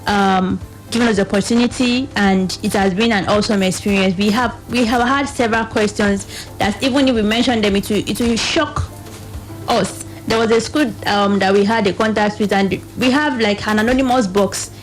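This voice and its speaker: A woman's voice: medium pitch, normal speed, faint music behind, low-quality recording. A woman with a Nigerian accent speaking as a guest on a radio panel, explaining earnestly and often voicing other people's questions as she goes. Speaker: guest from a youth reproductive health organisation.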